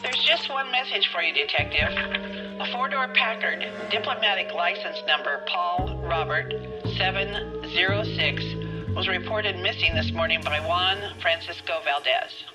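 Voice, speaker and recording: A woman's voice: on the low side, a little slow, music in the background, lightly acted, poor audio. A female actor playing a polite, businesslike police switchboard operator in a 1940s crime video game. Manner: polite, efficient. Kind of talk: period crime game acting.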